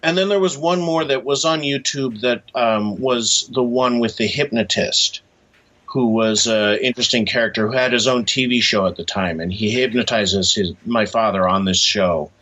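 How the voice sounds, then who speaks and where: A man being interviewed as an author, talking casually and haltingly, often searching for words. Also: medium pitch, bright, forceful tone. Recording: dull lo-fi audio.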